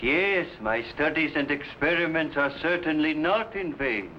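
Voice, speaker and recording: A Renaissance inventor full of courteous wonder, in a fairly high male voice. He speaks slowly and formally, with the theatrical delivery of a vintage sci-fi serial. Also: expressive, dull audio, a little hiss or hum. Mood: earnest, grateful. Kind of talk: 1950s television sci-fi serial acting.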